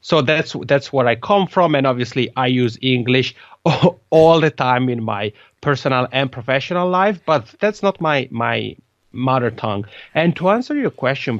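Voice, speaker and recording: A man from the Italian-speaking part of Switzerland with a Swiss Italian accent and Italian as his first language, a guest on a podcast. He talks in a lively way, repeating words and restarting phrases often. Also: medium pitch, dry recording, muffled recording. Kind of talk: conversational interview.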